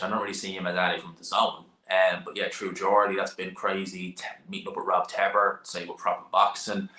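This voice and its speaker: A young Dublin man with an Irish accent chats loosely through viewer questions, repeating phrases and using casual slang. His voice is low but sharp and forceful. The room around him rings with echo. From Ireland. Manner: rambling, repeats himself, informal slang. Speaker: boxing channel host. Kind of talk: live stream chat answering viewer questions.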